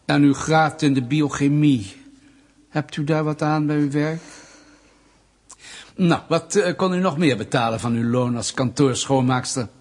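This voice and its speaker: An actor playing a smug, menacing Soviet state interrogator in a radio drama, mock-polite, needling and deliberate. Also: male voice, on the high side, darkish tone. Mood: toying.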